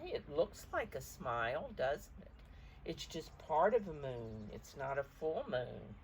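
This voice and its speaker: A woman reading a picture book aloud to young children, expressively, pausing to explain the pictures in simple words. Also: sits low, a little hiss or hum, poor audio. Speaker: storybook reader for preschoolers.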